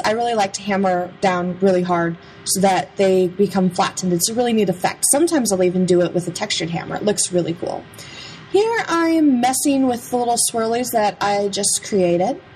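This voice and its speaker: A woman's voice: mid-range voice, a little mellow, rough recording. Young woman narrating crafts over background noise. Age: young adult. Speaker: craft vlogger. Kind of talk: casual craft process voice-over.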